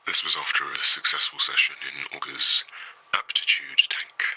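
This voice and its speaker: An actor playing a guarded, tired former super-soldier recruit who speaks in terse, clipped phrases. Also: male voice, extremely low pitch, flat delivery, moderate pace, sharp tone, breathy edge, full character voice, poor audio. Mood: weary.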